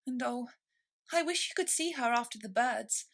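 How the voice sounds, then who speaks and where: A polite, curious little storybook girl, played by a woman reader. The voice is high and lilting, earnest and eager. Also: animated, normal speed.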